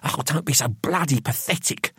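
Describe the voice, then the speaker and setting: A ruthless, domineering man, played by a male narrator, gives orders in an audiobook scene. The voice is raised in pitch and husky, with a sharp, hissed urgency. He is cold and contemptuous. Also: big pitch swings, a little slow, no room sound, clean studio sound, heavily acted. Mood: urgent, cold.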